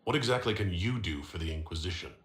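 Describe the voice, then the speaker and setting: An actor playing a composed, direct fantasy hero in a video game, speaking politely and formally, with a probing manner. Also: male voice, deep voice, expressive, fast talker, some chatter behind. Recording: reverberant room with faint music underneath.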